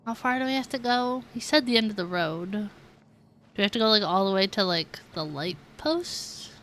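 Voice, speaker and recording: A woman's voice: mid-range voice, expressive, moderate pace, fairly bright tone, slight background noise. A woman doing casual live commentary as she streams a game, often musing aloud in questions.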